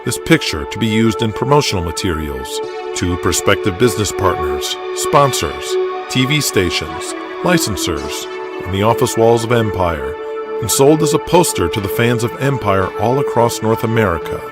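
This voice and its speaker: A man narrating a scripted audio drama with dramatic, measured storytelling. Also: lowish voice, big pitch swings, over loud music.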